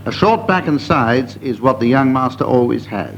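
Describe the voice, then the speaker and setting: A man's voice: medium pitch, expressive, normal speed, creaky. Man chairing a comedy game, slightly muffled. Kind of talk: comedy panel show hosting. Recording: dull, faintly noisy old broadcast recording.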